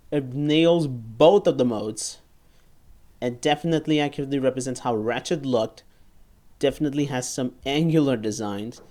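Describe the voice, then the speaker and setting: A man in his twenties giving a casual, enthusiastic hands-on review, often halting and repeating words. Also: medium pitch, a little mellow. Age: 20s. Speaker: toy reviewer. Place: small bedroom, indoors.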